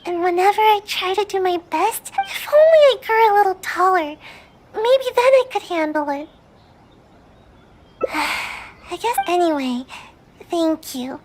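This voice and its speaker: A woman's voice: extremely high pitch, lively intonation, unhurried, pauses often. A woman voicing a small, discouraged young ninja girl in a video game, speaking timidly and hesitantly. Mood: downcast, apologetic. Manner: timid, hesitant, self-doubting. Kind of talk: video game character dialogue.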